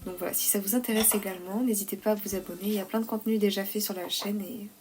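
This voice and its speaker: A young woman with a slight head cold, talking softly and hesitantly in a calm, cosy vlog voice-over. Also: medium pitch, very fast, slight background noise. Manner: soft, hesitant, many "euh" fillers. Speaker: vlogger, freelance illustrator.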